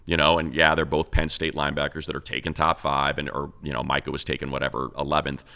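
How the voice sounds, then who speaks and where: A man's voice: rumbling low, level tone, quick pace, gravelly, sharp tone. A man co-hosting a sports talk show, giving casual, conversational football analysis and thinking aloud as he goes.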